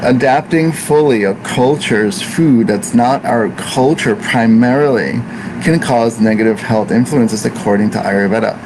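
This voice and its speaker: An American-born man whose first language is Italian, teaching Ayurveda in an unhurried, reflective way with many fillers and restarts. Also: mid-range voice. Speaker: Ayurveda practitioner. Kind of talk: informal teaching talk. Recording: noisy room with air conditioning hum.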